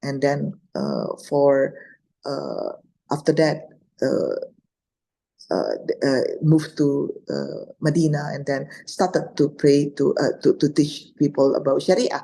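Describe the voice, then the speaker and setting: A woman's voice: low voice, animated, takes it slowly, creaky, dark tone, husky. A middle-aged woman with an Indonesian accent telling personal stories as a guest speaker, hesitating and rephrasing as she goes. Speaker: guest speaker, Islamic scholar. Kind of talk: personal storytelling in an online talk.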